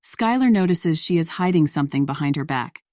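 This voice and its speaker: A woman's voice: deep voice, lively intonation, fairly quick, a little mellow, no room sound, clean studio sound. A woman reading scripted story narration in the role of narrator.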